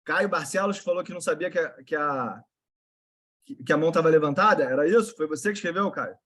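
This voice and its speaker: A man's voice: fairly high pitch, fast talker, a bit bright. A man with a Carioca accent hosting a live video call with investment students, talking in a brotherly, slangy, encouraging way. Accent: Carioca Portuguese.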